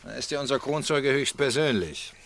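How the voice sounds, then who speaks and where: An actor in a German radio play voicing a sly pub regular who needles others with mock-jovial insinuation. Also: male voice, mid-range voice, lively intonation, normal speed, fairly bright tone, slight background noise. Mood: sly, insinuating.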